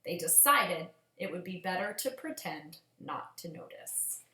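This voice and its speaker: A woman reading a children's storybook aloud as a storyteller, with expressive, measured phrasing. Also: lowish voice, very noisy. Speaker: storyteller, teacher. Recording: echoey room.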